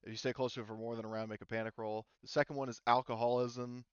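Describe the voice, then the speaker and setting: A man acting as game master, casually explaining tabletop game rules in a halting, informal way over a slightly lo-fi voice chat. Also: medium pitch, little pitch movement, smooth, no room sound.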